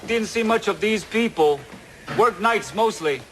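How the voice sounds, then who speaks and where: An actor playing the easygoing owner of a rundown film studio, casual and obliging, in a crime audio drama. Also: male voice, high-pitched, animated, measured pace, a bit bright, rough recording. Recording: faint music behind.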